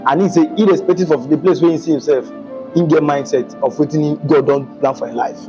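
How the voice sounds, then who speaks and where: A male talk show host with a Nigerian Pidgin accent, animated and exclaiming often as he chats with a guest in English and Pidgin. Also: a little high, a little fast, muffled recording, over music. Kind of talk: casual interview chat.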